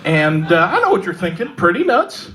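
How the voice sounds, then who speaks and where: A woman with a Texan accent performing a stand-up set in a pub comedy club, delivering dark jokes deadpan, with lots of fillers and little laughs at her own lines. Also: lowish voice, normal speed, very noisy. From Texas. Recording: live club mic, loud audience laughter.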